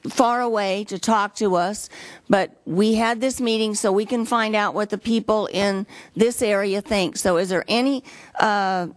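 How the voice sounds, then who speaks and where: A woman moderating a public meeting, calling up speakers in a brisk, practical way. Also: medium pitch, steady tone, dry recording. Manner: brisk, practical, gives instructions.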